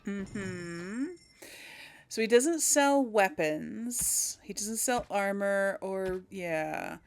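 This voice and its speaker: A woman's voice: mid-range voice, expressive, drawn-out words. A woman giving casual commentary as she role-plays through a video game, thinking aloud hesitantly. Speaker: video game streamer role-playing for an audience.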